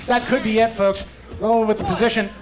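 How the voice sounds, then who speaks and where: A man calling a wrestling match play by play, excitable and quick with quips. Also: high voice, music in the background. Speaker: wrestling commentator. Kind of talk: play-by-play commentary. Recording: loud crowd behind.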